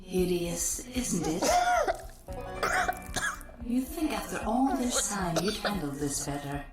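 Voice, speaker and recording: Taunting spirit for audio drama: wry, rather low, reverberant, with music underneath. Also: female voice, big pitch swings, slow pace. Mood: sly, taunting. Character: sly, sardonic female ghost haunting a woman.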